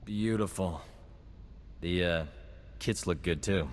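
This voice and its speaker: A man's voice: sits low, slow, long reverb tail, slight background noise. A man playing a wisecracking, dedicated rebel field doctor in a video game, with a wry, flippant manner. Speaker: Resistance field doctor character. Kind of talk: video game cutscene acting.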